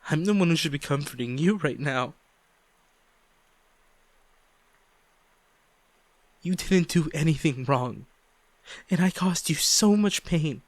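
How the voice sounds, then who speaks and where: A man acting a guilt-ridden ex-boyfriend pleading for forgiveness in an intimate audio roleplay, halting and emotional. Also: on the high side, expressive, dry recording, lightly acted. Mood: remorseful, distressed. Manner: halting, emotional, sighing, repeats himself.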